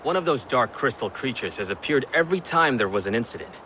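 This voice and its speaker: An actor voicing a stern, sharp-minded video game hero, serious and suspicious, with a clipped, commanding manner. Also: male voice, medium pitch, animated, fast talker, heavy background noise, natural voice. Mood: grave. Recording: music under the voice.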